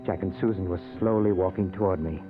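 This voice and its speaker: A man's voice: deep voice, fairly even intonation, fast, phone-quality sound, natural voice. An actor playing an anguished dead man who tells his own story, dramatic and intense in 1940s radio style. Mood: haunted, despairing. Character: anguished male ghost recounting his own death. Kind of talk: 1940s radio acting, first-person narration. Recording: thin old radio recording with music.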